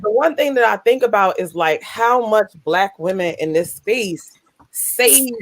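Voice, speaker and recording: A woman with a deep voice speaking bluntly and candidly, with salty humor, on a video call discussion. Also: expressive, measured pace, slight background noise. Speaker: panelist. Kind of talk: casual discussion.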